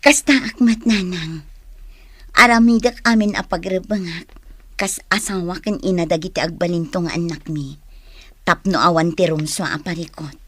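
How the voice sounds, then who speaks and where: A woman acting a gentle, dutiful young wife in an Ilocano radio drama, with a breathy voice. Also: fairly low pitch, moderate pace. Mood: grateful.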